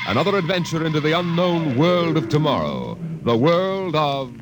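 A man's voice: a little high, sing-song, moderate pace. A man announcing a dramatic trailer in 1950s radio style. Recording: old radio recording, very loud music.

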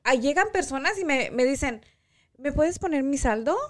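A woman's voice: high voice, expressive, moderate pace. Easygoing young woman. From Chicago. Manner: easygoing, code-switching, jokey. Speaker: co-host, bank worker. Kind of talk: casual chat.

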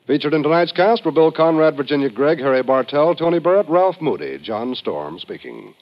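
An American male announcer reads a script in classic radio style. His voice is a little high and moves in lively swings. The vintage broadcast sounds muffled. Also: fairly quick, heavy background noise. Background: music.

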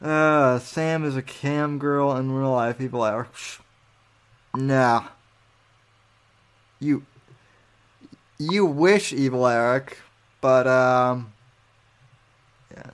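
A man's voice: medium pitch, extremely slow, long pauses, clean tone. A young man hosting his own podcast, talking casually and rambling with lots of fillers while reading out chat messages. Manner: rambling, many fillers and restarts, reads chat aloud. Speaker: podcast host.

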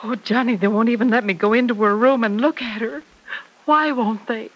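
Actress as husky, distraught mother in radio drama. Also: fairly high pitch, close to an everyday voice. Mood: anxious, pleading. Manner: tearful, halting, pleading. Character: distraught, anxious mother of a wounded girl. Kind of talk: 1940s-50s radio drama acting.

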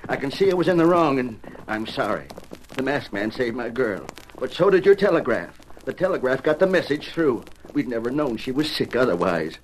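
A man's voice: mid-range voice, sing-song, normal speed, natural voice. An actor playing a stubborn rancher father with an American Western accent, emphatic and heartfelt, in a radio drama. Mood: humbled. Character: hot-tempered, stubborn rancher and loving father. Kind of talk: 1940s-50s Western radio acting. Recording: noisy vintage radio recording.

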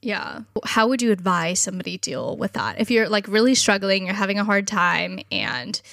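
Bright, quick-talking woman in her thirties. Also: mid-range voice, gravelly, no echo, clean studio sound. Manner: quick, expressive, laughs often. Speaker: podcast co-host. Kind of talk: casual conversation.

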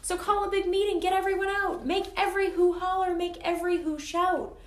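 A loyal, worried storybook elephant acted out by a woman speaks up for the small and helpless. He is urgent and emphatic, pitched high with wide swings, and the room echoes around him. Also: big pitch swings, measured pace, fairly bright tone, exaggerated voice. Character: big earnest male elephant, loyal and anxious protector.

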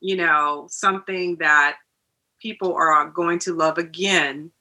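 A woman's voice: low-pitched, steady tone, slow pace, bright, forceful tone. A woman answering conversationally as a hair and makeup artist being interviewed about film work.

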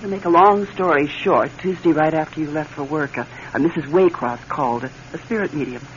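A woman's voice: low-pitched, a little fast, close to an everyday voice. A woman playing an anxious, affectionate wife in a classic radio drama, hesitant and emotional. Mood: confessing, evasive. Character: anxious, affectionate wife haunted by her late husband. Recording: dull, hissy old radio recording.

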